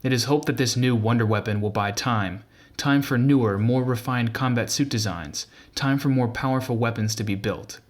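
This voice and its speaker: A male narrator delivers a scripted documentary piece. His voice is medium in pitch, and his reading is measured and formal throughout. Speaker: video narrator.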